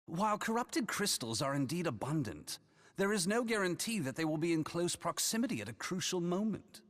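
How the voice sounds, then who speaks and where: A skeptical scholar in a fantasy game. His fairly high voice is dry and formal yet very varied in melody, ringing with long reverb. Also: very animated, fairly quick, a bit bright. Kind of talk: scripted fantasy game dialogue. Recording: reverberant hall sound.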